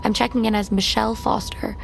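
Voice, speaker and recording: An actress playing a guarded, wry young woman with a gravelly creak, in naturalistic audio drama style. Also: on the high side, steady tone, brisk. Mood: matter-of-fact. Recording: clearly noisy ambient sound bed.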